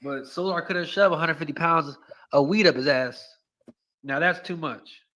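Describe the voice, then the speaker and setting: A man's voice: a little high, normal speed, bright, forceful tone, rough recording. A man giving crude, sarcastic casual commentary on a video clip. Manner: crude, sarcastic, speculative storytelling.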